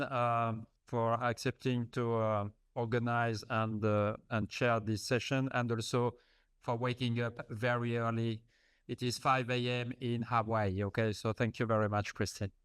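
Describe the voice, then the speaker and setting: A man hosting a conference session introduces the next speaker. His voice is very clear and dry, with little rise or fall in pitch. He speaks haltingly, with many uhs. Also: flat delivery, no room sound. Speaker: session host.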